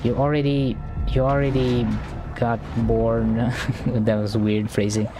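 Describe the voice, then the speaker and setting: A man's voice: mid-range voice, flat delivery, takes it slowly. Stammering man streaming a game. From Norway. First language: Norwegian. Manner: stammering, repeats words, thinking aloud. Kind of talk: live gameplay commentary. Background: game music.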